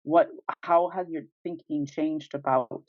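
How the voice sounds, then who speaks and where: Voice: medium pitch, steady tone, measured pace, dry recording. A podcast co-host with a mid-range voice talks casually, working through ideas aloud in winding, lightly amused questions. The sound is dry and close, with a slightly dull top end. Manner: thinks aloud in rambling questions, chuckling lightly.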